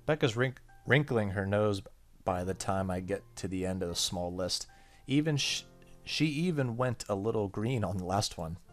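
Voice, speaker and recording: A man with a low voice narrates a visual novel as a gaming YouTuber. He moves between reading the text and casual asides, with faint music underneath. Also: expressive, moderate pace. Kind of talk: let's play, reading game text aloud with casual comments. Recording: faint game music behind voice.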